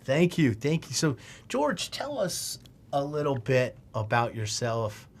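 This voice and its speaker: A man hosting a podcast in a studio, asking relaxed questions with a jokey edge. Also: medium pitch, slow pace. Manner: theatrical intro, then relaxed questions. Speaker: podcast host. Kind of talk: casual podcast interview. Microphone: studio podcast mic.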